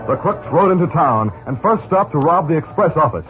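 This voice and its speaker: An actor narrating a 1950s radio western in a dramatic, formal announcer's delivery. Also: male voice, a little high, very animated, a little fast, a bit bright, faint music behind. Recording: dull 1950s radio recording.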